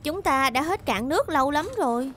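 A woman's voice: high voice, animated, fairly quick, a bit bright, slight background noise. A woman playing a cautious, prim young cartoon heroine in a Vietnamese dub, earnest and girlish. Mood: concerned. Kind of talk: Vietnamese-dubbed cartoon acting.

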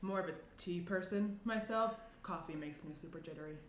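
A woman's voice: lowish voice, a little fast, lightly acted. A young woman playing a guarded, sarcastic woman on an awkward morning-after, dry and brusque, in a naturalistic table read. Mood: reserved. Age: young adult. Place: indoor room, seated at round table. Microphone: shared desk microphone on table. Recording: roomy echo, clear background noise.

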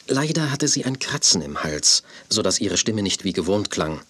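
Low, husky male storyteller. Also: a bit bright. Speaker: narrator. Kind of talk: scripted children's audio drama narration.

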